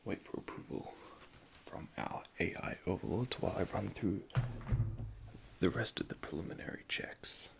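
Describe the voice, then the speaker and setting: Man, low and very gravelly whisper, calm methodical technician for an ASMR roleplay, close mic. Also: normal speed. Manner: soft, calm, methodical, speaks in technical jargon. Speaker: ASMR creator playing an android repair technician.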